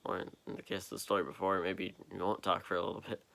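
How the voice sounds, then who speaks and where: A tired young man with a deep voice, rambling slowly in a late-night vlog, halting and full of fillers, recorded on a propped-up phone in a quiet basement. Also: level tone, gravelly. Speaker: vlogger. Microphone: phone propped up nearby.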